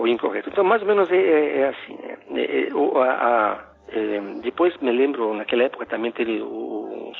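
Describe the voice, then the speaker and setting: Man with a Spanish accent, native Spanish speaker, middle-aged, gravelly, husky, halting talk full of restarts, phone-like sound. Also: medium pitch. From Ecuador. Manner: halting, many restarts and fillers. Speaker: philosophy professor, podcast guest. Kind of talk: casual conversational storytelling.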